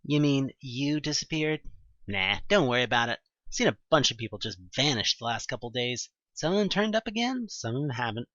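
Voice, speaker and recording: A gruff, weary survivor from an audio drama, performed by a man, salty and offhand. The voice is forceful and swings in pitch, recorded dry and close. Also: animated, brisk, no echo, highs cut off, lightly acted. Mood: weary, wry. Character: gruff, world-weary, swearing male survivor named Evelyn. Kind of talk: amateur audio drama acting.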